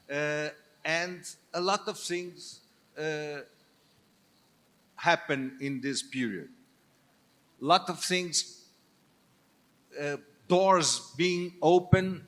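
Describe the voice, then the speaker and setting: An older man with a Portuguese accent, a native Portuguese speaker, speaking as a guest on a stage panel in a halting, hesitant way with many restarts. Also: mid-range voice, a bit bright. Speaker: business leader guest.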